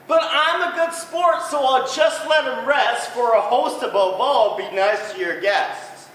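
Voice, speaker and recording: A man voicing a big-hearted male moose in a storybook, kind and patient, gentle and polite. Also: very high voice, a little slow, slight background noise, pitched above the performer's own voice. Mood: put-upon. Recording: echoey hall from far off.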